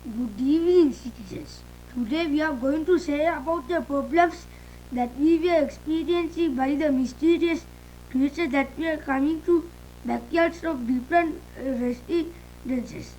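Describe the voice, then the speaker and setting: A woman reading an amateur emergency announcement in a halting, hesitant way. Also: high voice, dark tone, a little hiss or hum, poor audio. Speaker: announcer in a fictional emergency broadcast. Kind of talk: amateur scripted public announcement.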